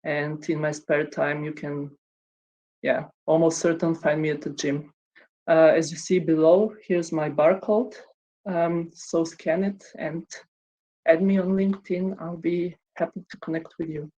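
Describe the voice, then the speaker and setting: A woman's voice: low voice, steady tone, measured pace, mellow, lo-fi sound. A woman in her thirties from Bulgaria, giving an online conference talk in a hesitant way, with many ums and restarts. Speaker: conference speaker.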